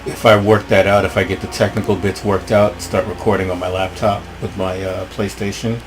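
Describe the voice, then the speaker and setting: A man with a rough voice commenting casually on his gameplay, rambling and thinking aloud. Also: low-pitched, flat delivery, a little fast, soft background music. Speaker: let's play host.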